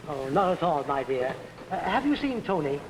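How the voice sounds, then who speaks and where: An actor playing a courteous gentleman in an early 1950s TV mystery. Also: fairly high pitch, big pitch swings, normal speed. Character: courteous gentleman at a concert hall. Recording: echoing, faintly noisy 1950s TV soundtrack.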